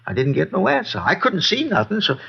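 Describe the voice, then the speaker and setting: A man's voice: sits high, fairly quick, creaky, slightly rough, full character voice. An actor playing a folksy, boozy older American man who rambles and repeats himself in an old radio drama. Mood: agitated, rambling. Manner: rambling, repetitive, folksy, excitable. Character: rambling, boozy, folksy male neighbor witness. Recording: dull, lo-fi old radio recording.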